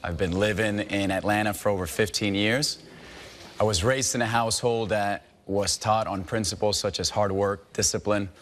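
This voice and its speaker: A man's voice: fairly low pitch, fairly even intonation, moderate pace, a bit bright, a little hiss or hum. A man from Venezuela introducing himself formally and steadily as a dating contestant. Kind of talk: prepared self-introduction.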